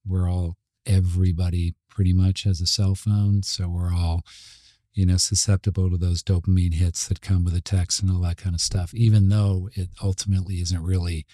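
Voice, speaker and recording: A middle-aged man from Montreal with a Canadian accent, talking casually and reflectively as an interview guest, with halting phrasing. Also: deep voice, flat delivery, warm tone, dry recording, studio quality. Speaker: advertising creative executive, interview guest.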